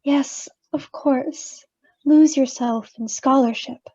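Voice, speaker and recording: On a dull, muffled recording, a falsely sympathetic female mourner, acted by a woman talks in a high, very slow voice. Every soft condolence in this radio play carries a pointed, insinuating edge. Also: steady tone. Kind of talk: stage radio-play acting.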